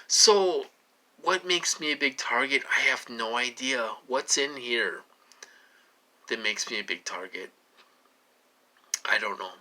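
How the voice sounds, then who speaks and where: A man in his late forties giving a rambling personal monologue, halting and repeating himself as he dwells on his questions. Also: mid-range voice, bright, forceful tone. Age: late 40s. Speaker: vlogger.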